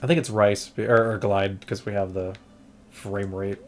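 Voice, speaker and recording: A man's voice: fairly low pitch, fairly even intonation, moderate pace, faint music behind. A man streaming an emulator test, chatting casually and rambling with many restarts, saying he is losing his voice. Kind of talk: casual gameplay stream chat.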